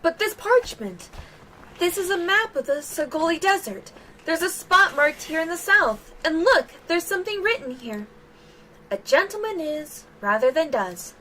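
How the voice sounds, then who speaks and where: A woman's voice: extremely high pitch, big pitch swings, moderate pace, pushed and bright, slight background noise, full character voice, rough recording. A woman voicing an eager, naive young female assistant in a game, bubbly and earnest. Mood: cheerful.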